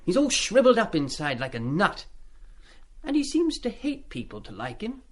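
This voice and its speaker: A man's voice: high voice, huge pitch swings, normal speed, fairly bright tone, heavily acted. An actor playing an eager, cheeky British schoolboy in a radio play, chatty and indiscreet but always polite to his elders. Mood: frank, earnest. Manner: chatty, deferential with schoolboy slang.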